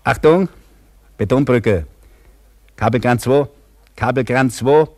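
An older actor playing an eager, proud ageing professor turned construction worker in a radio drama. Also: male voice, medium pitch, slow, a little mellow. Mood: joyful, proud.

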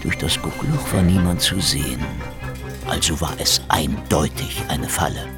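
An actor playing a cynical, sardonic, menacing Soviet private detective who also narrates, in a hardboiled radio crime drama. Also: male voice, extremely low pitch, a little slow, gravelly, loud music behind the voice, heavily acted. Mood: wry, brooding. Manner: sardonic, world-weary.

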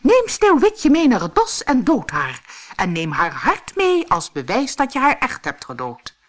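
Cruel, vain stepmother queen for a fairy tale, imperious, high, widely varied melody; a woman acts it. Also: huge pitch swings, unhurried, full character voice. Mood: furious, commanding. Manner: imperious, incantatory, whispery at the mirror. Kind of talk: fairy tale audiobook character voice.

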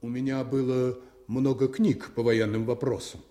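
A man's voice: mid-range voice, little pitch movement, moderate pace, warm tone, natural voice. An actor playing a worried, fond father of a noble Russian family in a radio play monologue. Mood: concerned, reminiscing.

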